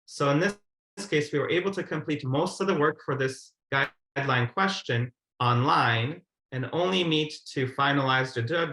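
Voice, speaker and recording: A man's voice: mid-range voice, fairly bright tone. Man presenting online in an echoey room. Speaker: webinar presenter. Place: indoors on a video call. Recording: roomy, echoey video call.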